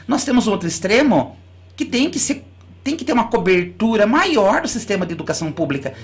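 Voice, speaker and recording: A woman's voice: mid-range voice, very animated, a little fast. A school director from Brazil, a guest on a radio interview. She explains in long, earnest runs and often restarts her sentences. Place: radio studio.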